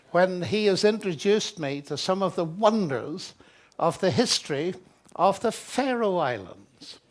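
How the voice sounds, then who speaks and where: An older man from Northern Ireland with a Northern Irish accent, giving a public lecture with genial, self-deprecating humor. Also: on the high side. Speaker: guest lecturer. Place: hotel lecture hall with a large audience.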